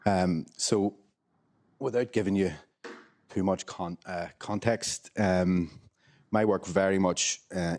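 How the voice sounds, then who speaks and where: A Northern Irish man speaks in a low, deep voice, lecturing at a measured pace. He is a professor talking on a panel, pausing often with ums. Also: darkish tone. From Northern Ireland. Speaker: history professor. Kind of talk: academic panel talk.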